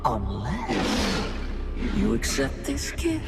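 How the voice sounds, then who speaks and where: A haughty dark spirit from a video game, tempting and commanding. The delivery is slow and grandiose, with huge pitch swings. It rings in a cavernous hall over loud music. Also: wildly swooping pitch, exaggerated voice. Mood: cold menace. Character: haughty sinister dark spirit offering forbidden power. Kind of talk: dramatic game voice acting. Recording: heavy echoing hall reverb under loud music.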